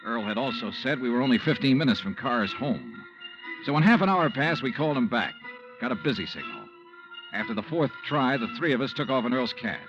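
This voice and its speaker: A cool, probing insurance investigator in a vintage radio noir. His medium-pitched voice moves widely in tune while staying dry and matter-of-fact, with music clearly underneath. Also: sing-song, fairly quick, lo-fi sound. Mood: measured, brooding. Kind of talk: 1950s radio noir narration and dialogue.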